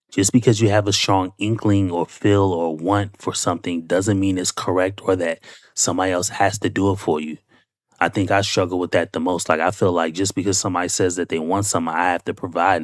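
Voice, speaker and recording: A man's voice: low voice, steady tone, no room sound, clean studio sound. A man hosting a reaction video, giving reflective, conversational commentary. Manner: reflective, conversational, drawn-out musing. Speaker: reaction channel host.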